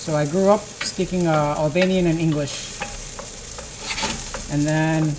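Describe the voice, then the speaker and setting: Woman streamer, very low voice, relaxed narration over a loud frying pan in a home kitchen. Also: measured pace. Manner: relaxed, thinking aloud while cooking. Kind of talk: casual cooking livestream talk. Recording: kitchen noise and sizzling pan. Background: frying and sizzling.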